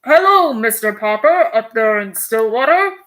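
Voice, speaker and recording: A woman reading aloud, voicing a hearty explorer admiral speaking over a radio broadcast, loud and announcing. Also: a little high, lively intonation, a little slow.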